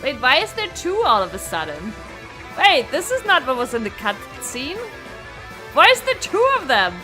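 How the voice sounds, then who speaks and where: A woman streamer talks over music in a high, very lively voice. She rambles casually and animatedly, swinging up and down in pitch as she chats. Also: normal speed, low-quality recording. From Germany. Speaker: game streamer. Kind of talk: casual live gameplay chat.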